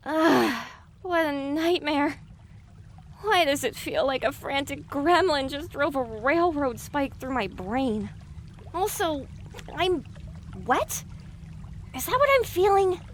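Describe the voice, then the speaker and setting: Woman as very high-pitched, bewildered young mare. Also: animated, slow pace, pauses often, a little hiss or hum. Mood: groggy. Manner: questioning, anxious. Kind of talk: audio drama acting.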